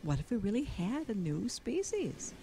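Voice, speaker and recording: A woman's voice: mid-range voice, big pitch swings, moderate pace, vocal fry, mellow, a little hiss or hum, rough recording. A woman acting a dry, skeptical scientist in an audio drama, speaking precisely and a little smugly. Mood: intrigued.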